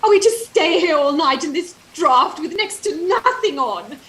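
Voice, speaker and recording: A spoiled, haughty young society daughter, acted by a woman for a stage play. Her high voice swoops with petulant complaint and snobbish disdain. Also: lively intonation, moderate pace, fairly bright tone. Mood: petulant, disdainful. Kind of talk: theatrical staged play reading.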